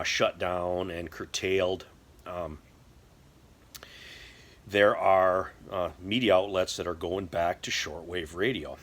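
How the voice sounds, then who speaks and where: Deep-voiced middle-aged American man. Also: fairly bright tone. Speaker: prepper video host. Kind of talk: casual explanatory talk to camera. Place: indoor workshop or garage.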